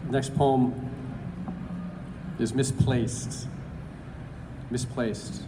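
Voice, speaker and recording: A man reads poems aloud at a slow, measured pace. His speech is hesitant and full of fillers between the pieces. His voice carries through a reverberant hall with steady background noise. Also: medium pitch. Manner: halting with many fillers, then slow deliberate reading. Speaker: poetry reader. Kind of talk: live public poetry reading. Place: large event hall.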